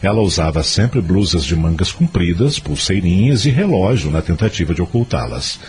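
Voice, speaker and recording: A man's voice: sits low, big pitch swings, moderate pace, darkish tone. A man with a Brazilian accent narrating a scripted audio drama as a storyteller. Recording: music behind the voice.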